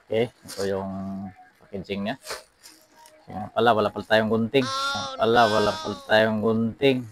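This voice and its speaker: Low-voiced Filipino man hosting an unboxing vlog. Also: level tone, normal speed. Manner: repeats phrases and address tags. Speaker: vlog host. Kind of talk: casual unboxing vlog, addressing viewers. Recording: some background noise.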